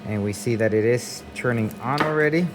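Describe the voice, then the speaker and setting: A man's voice: mid-range voice, fairly quick. A man giving a casual, explanatory walkthrough of installation work, speaking informally and directly to viewers as an installer. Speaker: installer narrating job site video. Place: work site, partly outdoors. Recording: noisy job-site ambience, vehicle noise.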